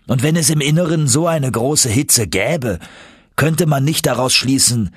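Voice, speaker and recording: A man voicing an excitable, impatient older professor who lectures and commands emphatically in an audiobook. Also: mid-range voice, a little slow, slightly rough, no room sound, pitched above the performer's own voice. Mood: insistent.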